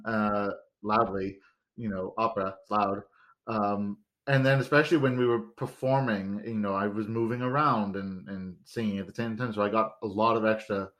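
A man in his thirties with a fairly loud voice, chatting casually and reflecting back on his own experiences. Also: on the low side. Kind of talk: casual chat.

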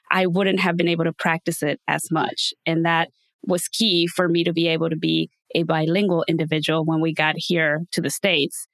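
Podcast host: woman from Mexico, lowish voice, dry close sound, friendly. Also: a bit bright, dry recording. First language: Spanish. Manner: friendly, laughs easily. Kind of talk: podcast interview.

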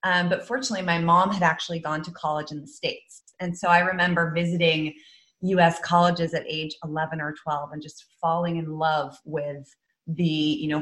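A young woman author from the Netherlands with a fairly low voice. She speaks in a thoughtful, measured way, often pausing with an um. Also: a bit bright. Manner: thoughtful, measured, with frequent ums. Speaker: author and guest. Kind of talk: interview conversation.

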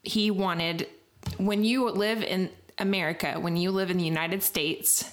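A woman's voice: lowish voice, fairly even intonation, measured pace. A young woman in casual chat, talking in a teasing, chatty way and laughing as she talks.

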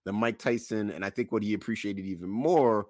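Low-pitched man, dry close podcast sound. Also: a little mellow, no room sound. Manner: deliberate, repeats points for emphasis. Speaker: podcast co-host. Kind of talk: casual podcast discussion.

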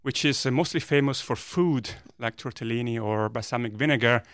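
A man's voice: mid-range voice, a little fast, fairly bright tone, no room sound. Italian man with a dry, close sound. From northern Italy. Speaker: guest physicist. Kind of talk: podcast interview, explaining science conversationally. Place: podcast studio.

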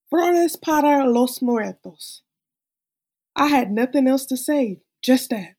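A woman performing a dramatic monologue as an anxious, exasperated actress confessing her stage fright in a halting, emphatic way. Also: a little high, dark tone, husky, exaggerated voice.

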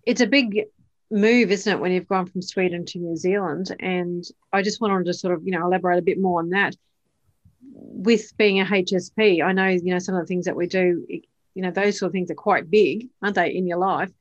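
A woman's voice: on the low side, steady tone, moderate pace, muffled recording. A woman hosting a podcast interview. She reads a scripted intro, then asks chatty questions and often ends them with "isn't it?". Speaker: podcast host.

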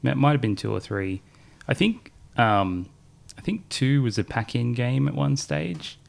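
A man's voice: mid-range voice, a little slow, creaky. A man co-hosting a podcast, rambling through casual chat about games and chuckling often. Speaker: podcast co-host.

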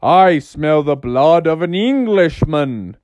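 A man voicing a huge, menacing fairy-tale giant, chanting in a slow, booming way. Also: expressive, a little mellow, dry recording.

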